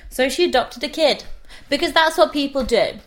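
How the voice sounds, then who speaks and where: A woman's voice: sits high, normal speed, a bit bright. A woman in her twenties, co-hosting a podcast and telling a history story in a sarcastic, jokey, sweary way. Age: 20s. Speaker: podcast co-host. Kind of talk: casual chat, storytelling.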